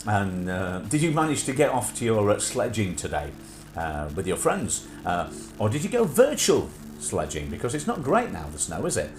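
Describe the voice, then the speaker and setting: A man's voice: lowish voice, sing-song, moderate pace, music in the background. Livestream host: man, middle-aged, nasal from sinusitis, swooping intonation, rambling delivery, echoey room. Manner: rambling with frequent filler hesitations. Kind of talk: casual livestream chat.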